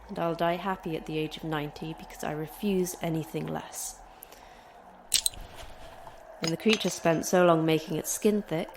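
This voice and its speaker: A woman's voice: lowish voice, heavy background noise. A woman playing an injured, stubborn fighter in an audio drama, terse, dry and defiant. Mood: resolute. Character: injured, concussed, stubborn female fighter trapped by a monster.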